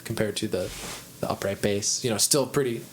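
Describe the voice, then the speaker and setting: Midwest guy hosting a podcast, low chesty voice, rambles n restarts a lot, faint hiss behind. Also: fairly quick, rough recording. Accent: American Midwest. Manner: rambling, restarts, thinks aloud. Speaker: podcast host, musician. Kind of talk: casual chat.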